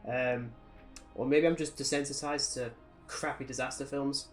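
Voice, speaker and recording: A man's voice: mid-range voice, fairly even intonation, normal speed, soft background music. A British man co-hosting a podcast, chatting casually about films in an opinionated, sardonic, ranting way. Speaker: podcast co-host. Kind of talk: casual film review chat.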